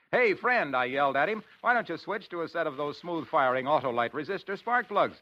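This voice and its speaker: A man's voice: fairly high pitch, animated, normal speed. An American man delivering folksy, punchy salesman patter as an announcer in a 1940s radio commercial. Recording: muffled vintage radio recording.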